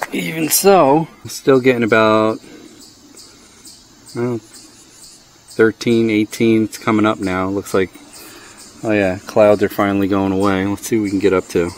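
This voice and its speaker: A middle-aged man with a fairly low voice talks his way through a hands-on gear test outdoors, chatty and thinking aloud with the odd dry joke. Faint crickets and insects chirp behind him, picked up by a body-worn action camera. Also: a little hiss or hum. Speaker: product reviewer. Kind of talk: casual hands-on product review. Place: outdoors in a driveway.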